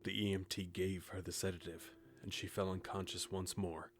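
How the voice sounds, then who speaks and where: Man playing grim reaper, very deep pitch, even restrained delivery, detached, first-person audio drama narration. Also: normal speed. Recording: music behind the voice. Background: music with guitar.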